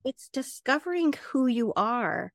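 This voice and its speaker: A middle-aged woman, an HR professional and advisor, talking in an interview and acting out quotes with lively jumps in pitch. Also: a little slow, dry recording, clean studio sound. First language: English. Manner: animated storyteller, acts out quotes with big pitch jumps. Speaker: guest, HR professional and certified advisor. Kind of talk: conversational interview.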